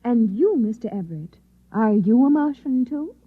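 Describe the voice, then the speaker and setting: An actress playing a skeptical, weary housewife in an old-time radio drama, dry and exasperated. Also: female voice, fairly high pitch, expressive, normal speed, husky. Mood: weary, sarcastic. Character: skeptical, weary housewife doubting a wild tale. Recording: dull old radio recording.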